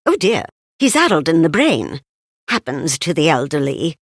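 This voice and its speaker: A woman's voice: low voice, sing-song, normal speed, no room sound, clean studio sound. A woman voicing a bossy male rabbit in a children's storybook, speaking in a scolding way. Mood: dismissive. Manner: reprimanding.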